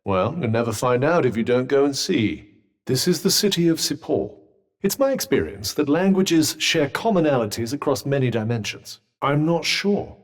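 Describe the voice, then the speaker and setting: A man's voice: medium pitch, very animated, moderate pace, in a large hall. A man with a British accent playing a sardonic, pompous magical talking vambrace in a video game, speaking in formal, wordy phrases with dry wit. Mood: dry, urging. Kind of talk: video game character dialogue.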